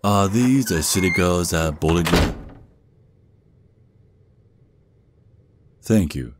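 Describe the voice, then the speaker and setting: A man's voice: deep voice, expressive, moderate pace, background noise. A man playing a menacing, mocking, protective werewolf father with a Southern US accent, drawling and taunting in an ASMR roleplay. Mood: calm menace. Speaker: audio roleplay performer.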